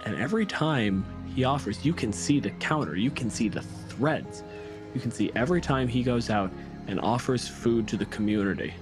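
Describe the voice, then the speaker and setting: A man telling a dramatic story in a tabletop game, with deliberate, drawn-out phrases and weighty pauses. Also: medium pitch, lively intonation, music in the background.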